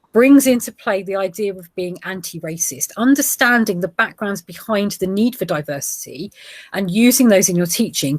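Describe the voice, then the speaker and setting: A woman in her forties, a geography teacher with a Gujarati heritage, explaining ideas to other teachers in a steady way with small fillers. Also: mid-range voice, dry recording. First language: Gujarati heritage, English now first. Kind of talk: presentation to teachers.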